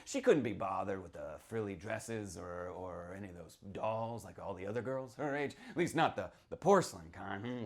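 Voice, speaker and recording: A man's voice: fairly low pitch, a bit bright, pitched below the performer's own voice. A man reading horror fiction aloud as a boozy old small-town storyteller, cagey and conspiratorial, prodding the listener and repeating himself. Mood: gossipy, sinister. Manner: theatrical, conspiratorial, repeats phrases, prods listener with "huh" and "hmm". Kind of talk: dramatic first-person horror reading.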